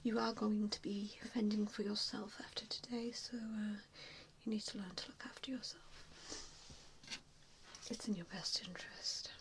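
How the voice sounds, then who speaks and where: A woman's voice: medium pitch, fairly even intonation, moderate pace, a little hiss or hum, close to an everyday voice, poor audio. A woman voicing a kindly, apologetic vampire in a whispered ASMR roleplay, hesitant and reassuring as she tends to a patient. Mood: gentle, caring. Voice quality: soft breathy whisper. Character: nice, apologetic female vampire tending a newly bitten patient.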